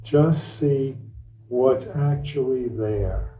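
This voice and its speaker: A man leading a guided meditation as a teacher, speaking gently and without hurry in short phrases with long pauses. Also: medium pitch. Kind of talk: guided meditation, unscripted and instructional. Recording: roomy echo, distant microphone.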